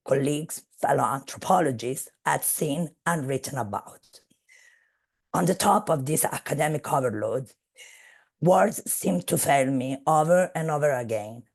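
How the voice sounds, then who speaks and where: Woman speaker on a video call, slow and measured, gravelly and husky. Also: rumbling low, a little mellow. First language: Italian. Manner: measured, searching for words. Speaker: guest speaker. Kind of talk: academic webinar talk.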